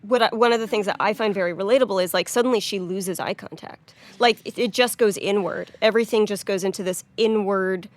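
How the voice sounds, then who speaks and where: A woman's voice: medium pitch, brisk, hardly any pauses. A woman giving casual, analytical commentary as a game writer, explaining earnestly in long runs.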